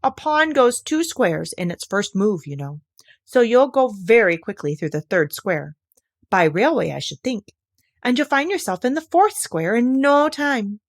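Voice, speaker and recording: A woman's voice: on the high side, sing-song, a little slow, no room sound, studio quality. A woman voicing a bossy yet good-natured chess queen from a storybook, exclamatory, imperious and matter-of-fact. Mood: brisk, good-natured.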